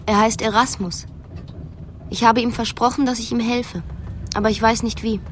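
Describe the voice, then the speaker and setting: An actress playing a sly, restless, determined wife in a radio drama. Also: female voice, fairly high pitch, fairly even intonation, normal speed, a little hiss or hum, close to an everyday voice. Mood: direct.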